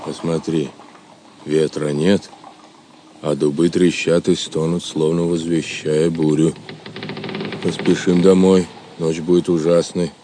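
A man playing a gruff, grumbling swineherd serf in an audio drama, with an earthy delivery. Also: extremely low pitch, little pitch movement, takes it slowly, dark tone, a little hiss or hum. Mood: wary.

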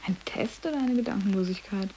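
A woman's voice: medium pitch, quick pace, dark tone, a little hiss or hum, lightly acted, rough recording. An actress playing a sardonic, probing teenage boarding-school girl in a radio drama, speaking in short, pointed phrases. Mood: sardonic curiosity. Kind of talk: literary German radio drama dialogue.

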